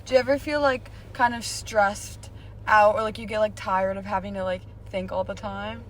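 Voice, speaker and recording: A woman's voice: medium pitch, steady tone, moderate pace, slight background noise. A woman in her late teens co-hosting a student podcast in a casual, slangy way. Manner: slangy, informal. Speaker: podcast co-host, student editor.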